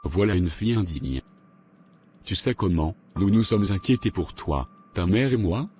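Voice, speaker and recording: A man playing a stern, scornful middle-aged father scolding in an animated drama. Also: deep voice, unhurried, a little hiss or hum. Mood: scornful, angry.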